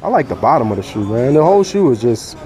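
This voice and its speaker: An American man hosting a sneaker vlog in a store, bantering casually in an excitable, joking, slangy way. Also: medium pitch, fast talker. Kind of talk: casual banter while browsing. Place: inside a mall sneaker store. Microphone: handheld phone or camera mic. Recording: loud music behind, store noise. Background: loud music.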